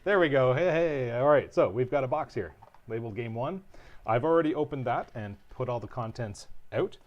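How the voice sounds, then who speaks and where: A man co-hosting a board game livestream, calmly walking through setup and rules in a relaxed, explanatory way. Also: mid-range voice, a little fast, dull audio. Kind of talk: casual livestream rules teaching.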